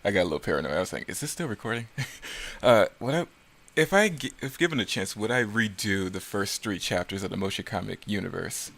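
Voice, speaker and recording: A man's voice: lowish voice, lively intonation, fairly quick, vocal fry, few pauses, a bit bright. A man giving casual, self-critical, rambling commentary on his own creative work.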